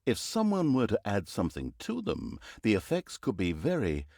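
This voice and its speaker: An actor playing a sardonic, theatrical narrator of a villainous fantasy game tale, with a mock-grandiose storybook delivery. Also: male voice, lowish voice, wildly swooping pitch, normal speed, gravelly, warm tone, no room sound, studio quality, exaggerated voice. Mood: sly, mock-grand. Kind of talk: scripted comic storybook narration.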